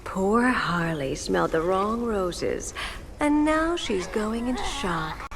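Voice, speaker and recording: A woman's voice: medium pitch, expressive, slight background noise. A woman playing a seductive, venomous game villainess, mocking and purring as she taunts. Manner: sultry, taunting, slow purr. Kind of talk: video game cutscene acting.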